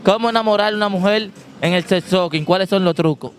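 A brash young man works a busy street with a handheld mic, his voice pitched high. He teases passersby and keeps pushing for answers while faint traffic hums behind him. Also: fast talker. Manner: brash, teasing, pushes for answers. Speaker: street interviewer. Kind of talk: joking street vox pop. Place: busy city street outdoors.

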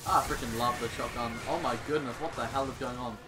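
A man's voice: medium pitch, fast talker, over loud music. A man doing casual gameplay commentary, sarcastic and exclaiming with mild swearing. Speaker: gaming YouTuber.

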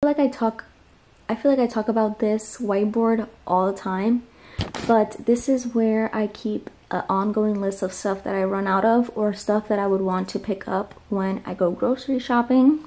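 A woman's voice: mid-range voice, normal speed, mellow. A young woman in her twenties chatting casually about groceries and meal prep in a small apartment, talking with lots of fillers. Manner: chatty, filler-heavy, upbeat. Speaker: lifestyle vlogger. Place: small apartment living room.

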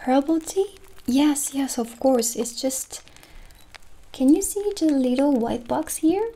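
A woman in her thirties playing a kindly witch shopkeeper in a gentle, soothing, soft-spoken ASMR roleplay. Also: high-pitched, slow. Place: small candlelit indoor set. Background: soft background music.

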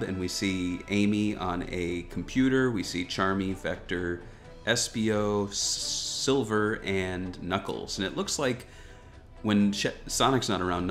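A man hosting a gaming news show, giving casual, wryly joking commentary on a video game trailer. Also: deep voice. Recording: music behind the voice. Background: background music.

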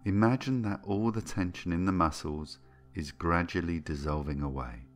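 A man with a very low voice leads a guided meditation. He speaks soothingly and gently, taking his time and leaving space between phrases. Music plays underneath his voice. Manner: soothing, gentle, unhurried with long pauses. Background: background music.

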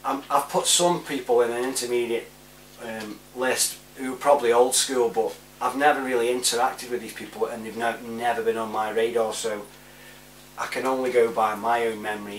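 A British man in his forties chatting casually to camera in a laid-back, rambling way, giving shout-outs. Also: medium pitch. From United Kingdom. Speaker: vlogger. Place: small room indoors at home. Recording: roomy, distant mic with faint hum.

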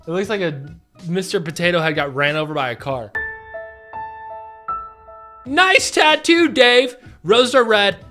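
Give me an excitable young man joking at a desk mic, with faint music underneath. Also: high-pitched, a little slow, pauses often. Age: young adult. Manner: joking, excitable, mock voices. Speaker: co-host. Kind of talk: casual reaction chat. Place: small home studio, indoors.

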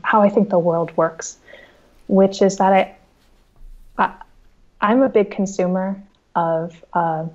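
Thirtyish woman author on a video call, lowish mellow voice, dull lo-fi sound, keeps restarting sentences. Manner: thoughtful, with frequent restarts and repeated words. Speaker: author guest. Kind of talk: remote interview answering reader questions. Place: home room with bookshelves. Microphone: video call with earbuds.

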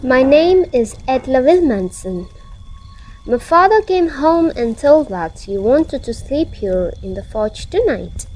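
Girl as shy polite daughter in a radio play, slow and careful, slightly high, faint noise. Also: darkish tone, poor audio. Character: ironmaster's shy, modest, polite young daughter. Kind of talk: student radio drama acting.

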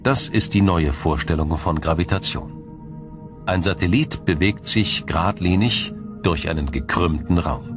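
Deep-voiced male documentary narrator. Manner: measured, explanatory. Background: music.

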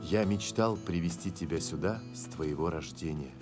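An actor voicing a stern, proud island chief and father in an animated film dub, with music behind. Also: low voice, moderate pace, close to an everyday voice. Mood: solemn.

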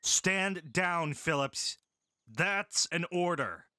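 An actor playing a kind but firm male army medic in a full-cast audiobook, speaking with calm authority. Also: on the high side, drawn-out words, dry recording, studio quality, exaggerated voice.

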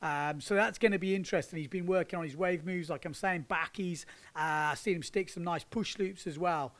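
Chatty man, fairly high voice. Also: fairly quick, fairly bright tone. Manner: chatty, laughing, British slang. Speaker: windsurfing vlog host. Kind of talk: casual chat with commentary over surf footage. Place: indoors, sitting on a sofa. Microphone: handheld microphone.

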